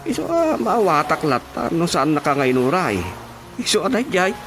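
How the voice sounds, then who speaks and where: A stern, exasperated village chairman in an Ilocano radio drama. His slightly high voice swoops as he scolds, with music clearly behind it. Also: wildly swooping pitch, moderate pace. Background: prominent background music.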